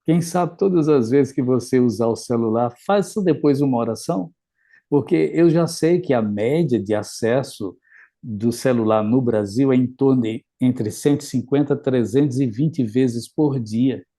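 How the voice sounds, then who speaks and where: A man's voice: medium pitch, normal speed, mellow. A man, a pastor, earnestly exhorting listeners to pray more. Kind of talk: sermon close.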